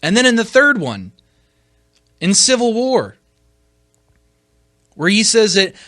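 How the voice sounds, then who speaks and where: Bright, higher-voiced man arguing his point. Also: sing-song, slow pace, no room sound, clean studio sound. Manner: emphatic, argumentative, rhetorical questions. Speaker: podcast host. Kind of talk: opinion commentary on films.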